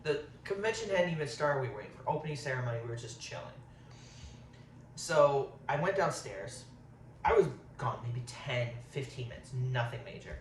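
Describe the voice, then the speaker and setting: A man in his thirties talks casually while eating on camera. He rambles from topic to topic, fills gaps with um, and keeps an everyday middle pitch. He sits back from the mic in an echoey room with a faint hiss. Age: 30s. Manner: rambling, says um a lot. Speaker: vlogger. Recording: roomy, distant, faint hiss.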